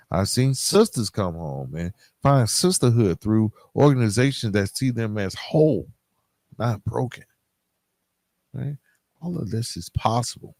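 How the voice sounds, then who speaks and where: A man hosting a podcast solo, talking informally and conversationally, thinking aloud in a halting way. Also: mid-range voice, a little mellow, no room sound. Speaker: podcast host.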